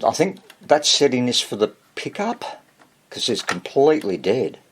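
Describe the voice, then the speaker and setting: A man's voice: medium pitch, big pitch swings, normal speed. Man thinking aloud in a noisy recording. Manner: hesitant, thinking aloud, self-deprecating. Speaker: vintage radio restorer and video host. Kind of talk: informal hands-on repair commentary. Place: workshop, beside the radio being repaired. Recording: noisy workshop recording with clicks.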